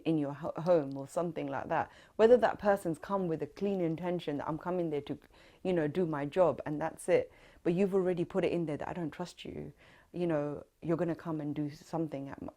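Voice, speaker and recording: A woman's voice: deep voice, mellow. A woman in her thirties with a London accent, telling her story in an oral history interview, reflective and earnest with many fillers. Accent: London English.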